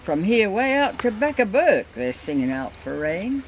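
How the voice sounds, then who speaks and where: A woman's voice: medium pitch, sing-song, normal speed, voice pushed up, natural voice. An older woman voicing worried, drought-weary Australian country men grumbling emphatically in a poetry recitation on an amateur recording. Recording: very noisy amateur family home recording.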